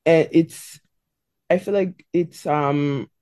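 A woman's voice: low-pitched, slow pace, warm tone, no room sound. A woman in her twenties from South Africa, thoughtfully answering interview questions as a guest. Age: 20s. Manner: thoughtful, searching for words. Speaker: stylist and guest.

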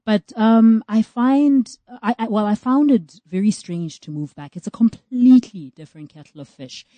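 A woman's voice: a little high, moderate pace, warm tone, dry recording, dull audio. A middle-aged South African woman speaking thoughtfully in a casual chat, often laughing. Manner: thoughtful, reflective, laughs easily. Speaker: guest.

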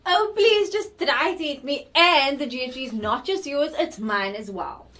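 A woman's voice: high voice, animated, moderate pace, fairly bright tone, slight background noise. A woman playing a cheeky, argumentative teenage schoolgirl in a radio drama, with a South African accent. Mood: cheeky, challenging. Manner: argumentative, challenging. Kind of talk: amateur radio drama acting.

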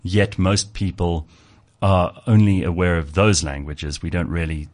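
A man's voice: deep voice, steady tone, a little slow, darkish tone. A man hosting an interview, chatty and teasing, often repeating words as he builds his questions. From South Africa. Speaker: talk show host. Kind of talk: conversational interview.

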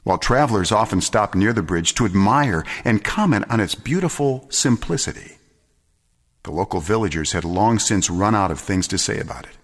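A man giving a dramatic scripted story reading, measured and solemn, with deliberate pauses and expressive phrasing. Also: lowish voice, husky. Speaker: storyteller, narrator.

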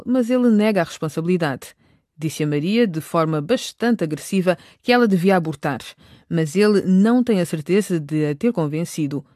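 A woman's voice: lowish voice, mellow, no echo, clean studio sound. A woman narrating a scripted radio drama as its storyteller.

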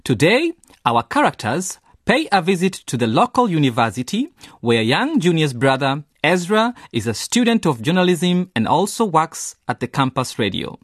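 A man's voice: on the high side, big pitch swings, normal speed, no echo, studio quality. A man presenting a scripted introduction to an educational radio drama. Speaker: programme presenter. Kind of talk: scripted narration.

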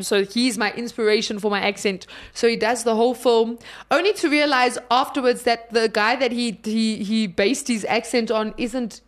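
A young woman from South Africa with a South African accent, chatting animatedly about a film as a podcast co-host. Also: a little high. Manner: chatty and animated, many restarts. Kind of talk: casual film review chat.

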